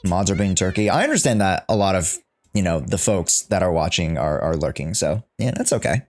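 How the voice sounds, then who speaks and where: A man's voice: low-pitched, expressive, fairly quick, darkish tone. A man livestreaming a casual, slangy reaction to a game, joking and rambling as he goes.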